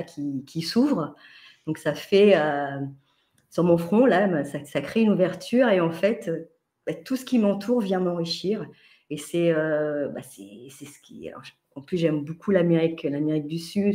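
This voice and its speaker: A woman in her fifties from France, a podcast guest telling travel stories in a lively, animated way with many restarts and fillers. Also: on the low side. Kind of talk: casual podcast chat.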